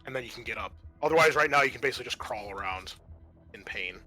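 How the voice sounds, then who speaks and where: Bright-voiced man running a horror roleplaying game. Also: medium pitch, animated, fast talker, soft background music. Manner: vivid storytelling mixed with casual rules talk. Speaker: game master.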